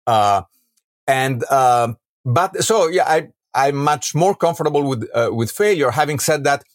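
A man's voice: mid-range voice, animated, measured pace, no echo, clean studio sound. A man with an Italian accent speaking conversationally as an interview guest, emphatic and often checking for agreement. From Rome, Italy. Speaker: podcast guest, entrepreneur and author.

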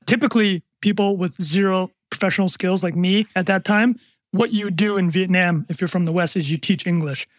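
A man with a Canadian accent, a podcast guest chatting casually, joking and rambling with quick asides. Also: high voice, steady tone, dry recording.

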